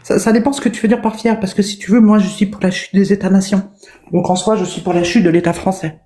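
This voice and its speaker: A woman's voice: mid-range voice, steady tone, quick pace, mellow. A woman debating in a casual online discussion, hesitant and full of fillers and restarts. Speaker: debate guest.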